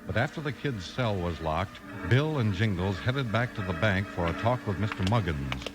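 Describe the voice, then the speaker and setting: A man's voice: lowish voice, lively intonation, moderate pace. A man reading scripted narration in the style of 1950s radio. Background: organ music.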